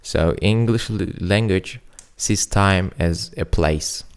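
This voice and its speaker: A man's voice: low voice, takes it slowly, smooth. A man on a casual podcast, explaining ideas loosely with fillers like "like" and "um". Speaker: podcast co-host.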